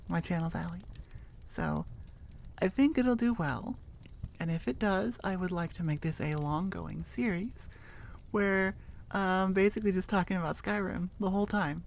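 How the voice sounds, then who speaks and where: A woman's voice: on the low side, slight background noise. A woman chatting casually and informally as an audio creator, rambling with enthusiasm. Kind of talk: casual rambling outro chat.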